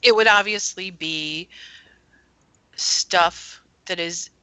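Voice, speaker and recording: Low bright-voiced woman, chatty guest. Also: takes it slowly, clear, resonant tone, no room sound, low-quality recording. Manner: chatty, explains at length. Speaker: internet radio station owner, guest. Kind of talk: casual chat.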